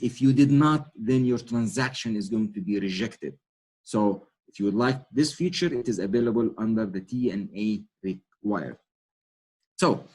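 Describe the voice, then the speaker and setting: Husky Egyptian man explaining software. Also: mid-range voice. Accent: Egyptian Arabic. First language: Arabic. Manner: explanatory, frequent self-corrections and fillers. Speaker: product trainer. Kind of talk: webinar software walkthrough.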